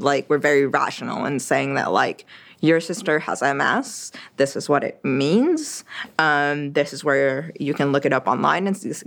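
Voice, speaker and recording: A woman's voice: sits low, vocal fry. A young woman from Quebec whose first language is French, talking casually as an interview guest, with many fillers and restarts. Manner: chatty, frequent fillers and restarts.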